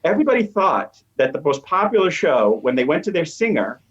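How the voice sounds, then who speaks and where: A man's voice: on the high side, sing-song, brisk, a bit bright, slightly rough. A man explaining research as an academic guest in an interview, eager and emphatic, on lo-fi audio. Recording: dull, lo-fi remote audio.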